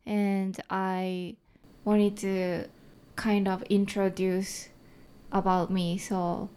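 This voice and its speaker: A young adult woman from Japan with a thick Japanese accent, a comedian speaking English as a second language, talking casually with halting phrasing and many fillers. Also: mid-range voice, little pitch movement, dark tone, clean tone. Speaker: comedian guest. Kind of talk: casual interview chat.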